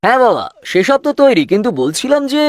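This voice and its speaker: A man's voice: high voice, sing-song, fast talker, dry recording. A man playing a shopkeeper's profit-minded grown son in a cartoon tale, respectful but hesitant, persuasive and self-justifying. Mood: wheedling. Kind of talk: cartoon fairy-tale voice acting.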